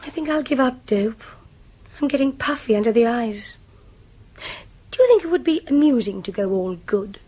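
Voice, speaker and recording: Husky, languid upper-class English socialite. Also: female voice, fairly high pitch, animated, moderate pace, long pauses, warm tone. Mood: bored, restless. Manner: languid, drawling, flippant. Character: bored, decadent upper-class young socialite woman, languid and flippant. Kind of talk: radio drama acting.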